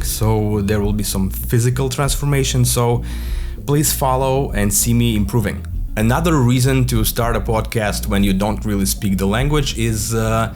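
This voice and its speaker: A young man with a thick Russian accent talking alone on his podcast, halting with many fillers and a wry, dark humor. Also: on the low side. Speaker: podcast host, comedian. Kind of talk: reflective solo podcast monologue. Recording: music playing under the voice. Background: guitar music.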